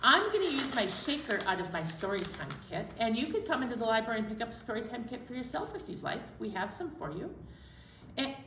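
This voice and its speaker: Gentle kids' librarian lady, slow and encouraging, kinda high voice, echoey room, camera far off. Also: big pitch swings, noisy background. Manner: gentle, slow and encouraging, talking to young children. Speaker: children's librarian. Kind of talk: children's storytime.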